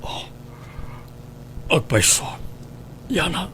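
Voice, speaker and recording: A man's voice: a little high, sing-song, drawn-out words, background noise, exaggerated voice. A man acting an evasive, smitten middle-aged father in a radio drama, with a coaxing manner. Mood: wooing, eager.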